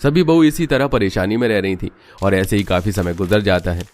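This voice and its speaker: Low-voiced man narrating a cartoon story. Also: brisk, darkish tone, soft background music.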